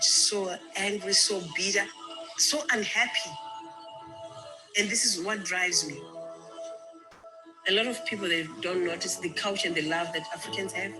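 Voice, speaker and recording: A man's voice: high voice, fairly even intonation, unhurried, low-quality recording. A man from Zimbabwe speaking earnestly and from the heart in a short documentary clip. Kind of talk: documentary testimony. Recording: speech with music playing behind.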